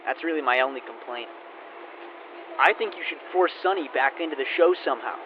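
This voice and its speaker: A man's voice: medium pitch, brisk, close to an everyday voice. A man playing a chatty, friendly podcast fan leaving a casual, frank voicemail in an audio drama, muffled. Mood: friendly, candid. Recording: muffled voicemail with faint noise.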